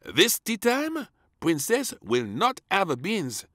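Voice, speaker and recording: A man voicing a bossy, excitable castle chef in a children's storybook, theatrical and emphatic. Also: sits high, slow, dry recording, studio quality, voice pushed up, heavily acted. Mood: declaring. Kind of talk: read-aloud children's storybook.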